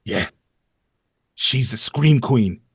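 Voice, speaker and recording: A man's voice: mid-range voice, animated, very slow, breathy edge, dry recording, studio quality, exaggerated voice. A man playing a talkative teenage horror-movie geek in a script read. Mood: knowing. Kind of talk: informal script table read.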